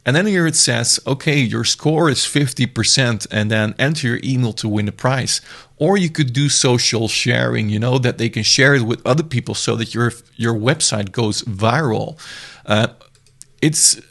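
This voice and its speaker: Gravelly man demoing software. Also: medium pitch, moderate pace. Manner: casual, meandering walkthrough with frequent restarts. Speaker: software reviewer. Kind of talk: screen-recorded software demo.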